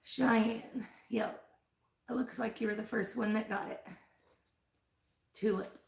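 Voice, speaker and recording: Gravelly-voiced woman rambling on a livestream. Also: fairly high pitch, fairly even intonation, slow pace. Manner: rambling, fills with "like" and "um". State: losing her voice after an illness. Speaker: flower farmer hosting a livestream. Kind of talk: casual livestream chat. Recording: echoey room.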